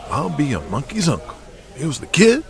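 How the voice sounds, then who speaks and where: A man's voice: fairly high pitch, wildly swooping pitch, a little fast, gravelly, darkish tone, voice pushed up, exaggerated voice. A man voicing a smug, swaggering 1980s pro wrestler in an audio drama, with a dismissive manner. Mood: teasing.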